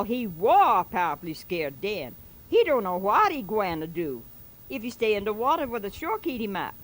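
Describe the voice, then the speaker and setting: A woman's voice: mid-range voice, fairly bright tone. A woman playing a fervent old-time drawling preacher. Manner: drawling, exhorting, repetitive asides. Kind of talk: comic dialect sermon recitation.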